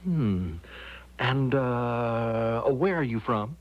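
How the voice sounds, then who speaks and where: An actor playing a fussy, flustered, by-the-book legation secretary who stammers and repeats himself in a radio drama. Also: male voice, medium pitch, drawn-out words. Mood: probing, fussy. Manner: stammering, repetitive, dithering, officious. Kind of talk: 1970s radio drama acting. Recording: dull lo-fi old radio broadcast.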